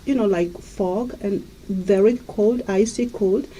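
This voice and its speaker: A woman from Kenya, a life coach, telling her story as a guest in a radio interview in a gentle, storytelling way. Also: mid-range voice, a little slow, a little hiss or hum, rough recording.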